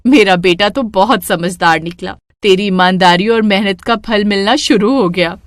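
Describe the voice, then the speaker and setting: A woman's voice: mid-range voice, normal speed, no room sound, studio quality, natural voice. A woman voicing a loving, proud mother in a Hindi moral cartoon story, affectionate and encouraging. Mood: caring, proud. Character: loving, proud mother of a poor young cook.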